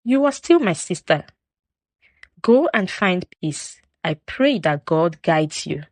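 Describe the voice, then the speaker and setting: A woman voicing a sorrowful, dignified wronged wife in a folktale, speaking in a quiet, pained and measured way. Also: fairly low pitch, sing-song, no room sound, full character voice. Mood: gentle anguish.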